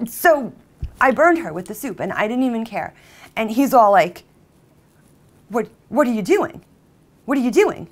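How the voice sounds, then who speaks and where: A woman playing a jilted, obsessive character who confesses in a halting, conversational dramatic monologue, with volatile emotion. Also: fairly high pitch, sing-song. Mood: indignant, agitated. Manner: halting, emotionally volatile, mimics others' exclamations. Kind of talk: dramatic monologue, conversational first-person storytelling.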